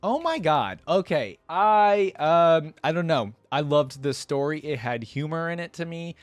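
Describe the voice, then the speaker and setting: A man hosting a reaction video, giving casual, informal commentary and thinking out loud with enthusiasm. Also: on the high side, expressive, measured pace, no room sound, faint music behind. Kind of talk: casual reaction commentary with some reading aloud.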